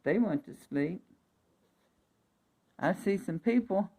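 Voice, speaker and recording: A woman reading a fairy tale aloud for young children, expressive, with chatty asides and emphatic repetition. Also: medium pitch, takes it slowly.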